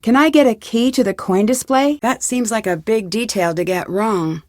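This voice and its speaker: A woman's voice: a little high, normal speed, no echo, studio quality, lightly acted. An actress playing an inquisitive, polite young woman detective in a video game, speaking in an earnest, questioning way. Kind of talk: video game dialogue acting.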